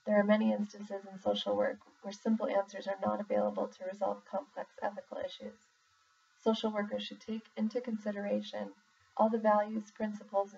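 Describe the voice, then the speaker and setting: A woman reading a formal document aloud in a measured, deliberate way, pausing between phrases. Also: medium pitch, vocal fry, dark tone, husky. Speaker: reader, social worker in exam preparation. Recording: muffled, distant mic with faint background noise.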